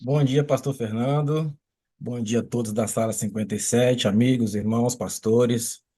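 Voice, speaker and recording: A man's voice: mid-range voice, fairly even intonation, moderate pace, mellow, breathy edge. A man from Espírito Santo, a church member, politely greeting the meeting before his testimony. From Espírito Santo, Brazil.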